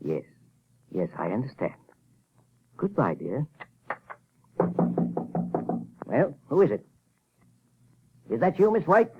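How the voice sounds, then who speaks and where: An actor playing a shifty, nervous professor in an old radio mystery, stammering and blustering. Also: male voice, medium pitch, wildly swooping pitch, a little slow. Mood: uneasy. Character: shifty, nervous, crooked male professor with a guilty secret. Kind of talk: 1940s radio mystery acting. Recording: noisy, tinny old radio recording.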